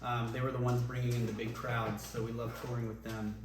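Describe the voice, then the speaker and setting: A man, a former band singer, reminiscing casually in an interview, relaxed and rambling with many ums and restarts. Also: medium pitch, fairly quick. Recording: echoey room, voice from a distance, faint noise.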